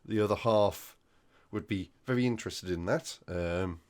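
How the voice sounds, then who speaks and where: A man with a croaky voice from flu, hosting a hobby news roundup in a casual, hesitant way with many ums. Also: fairly low pitch, moderate pace. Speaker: hobby channel host.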